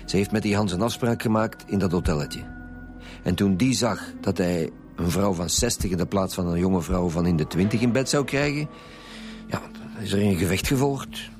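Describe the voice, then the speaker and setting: A man's voice: deep voice, moderate pace, soft background music, natural voice. A man playing a blunt, impatient police commissioner with a Flemish accent in a radio drama. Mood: brusque, decisive. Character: gruff, insistent male police commissioner leading homicide detectives. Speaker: actor in a radio detective serial.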